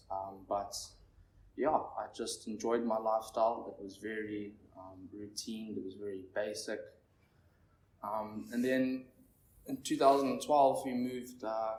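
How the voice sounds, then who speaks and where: Shaky twenties guy from zimbabwe rambling thru his share, lowish voice, far from the mic. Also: steady tone, a little mellow. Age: 20s. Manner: halting, rambling, heavy on fillers. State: nervous, shaky. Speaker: speaker at a recovery meeting. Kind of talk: personal recovery share. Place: meeting room with an audience. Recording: echoey room, distant mic, faint noise.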